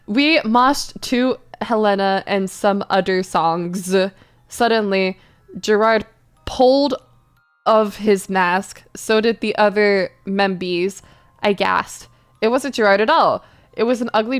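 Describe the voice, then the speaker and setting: A woman's voice: mid-range voice, clear, resonant tone. A woman giving an exaggerated, theatrical dramatic reading of badly written fan fiction, misspellings and all. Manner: exaggerated, theatrical delivery that keeps deliberate misspellings. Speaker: streamer doing dramatic readings.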